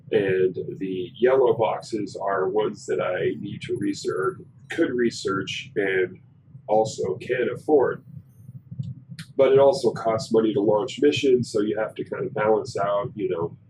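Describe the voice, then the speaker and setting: A man hosting a casual gameplay commentary, hoarse and raspy from a cold, explaining things in a rambling way with many fillers. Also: fairly low pitch, background noise. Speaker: gaming channel host.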